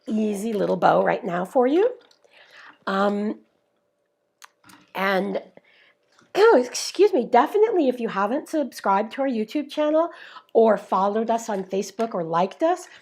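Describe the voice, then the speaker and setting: A woman's voice: fairly high pitch, very animated, measured pace, gravelly, darkish tone, husky. Chatty woman demonstrating crafts. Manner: chatty, upbeat step-by-step explaining. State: slightly losing her voice. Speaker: craft demonstrator, show host. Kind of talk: live-streamed craft tutorial.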